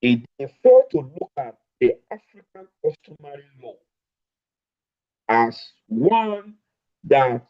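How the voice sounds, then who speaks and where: Male law lecturer, slow and emphatic, slightly high voice, muffled online audio. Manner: deliberate, stretched-out emphasis on key words. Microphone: remote call over network.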